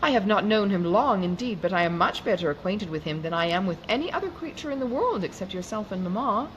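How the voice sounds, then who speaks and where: A woman's voice: medium pitch, lively intonation, quick pace, highs cut off, natural voice. A woman reading an audiobook, voicing an impulsive, romantic young woman in a raised, eager voice. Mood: indignant. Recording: noisy background hiss.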